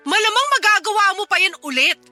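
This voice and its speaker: A woman's voice: very high-pitched, expressive, fast, pushed and bright, soft background music, full character voice. A woman voicing a wounded, sarcastic fiancée scolding her cheating man in a Filipino-accented radio drama. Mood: distrustful, bitter.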